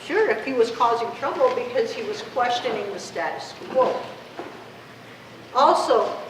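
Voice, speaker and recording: A woman's voice: fairly high pitch, lively intonation, a little slow, recorded from a distance. A woman in her fifties, a Spanish speaker, gives an impassioned, conversational public talk as an activist, often posing rhetorical questions. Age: 50s. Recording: echoey room from afar, clear noise.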